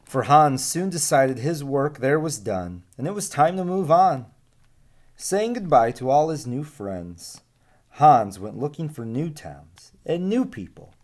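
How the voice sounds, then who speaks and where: A young man reading a children's storybook aloud in an expressive, deliberate way. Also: a little high. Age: young adult. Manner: animated, deliberate, asks listeners questions. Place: small room with bookshelves.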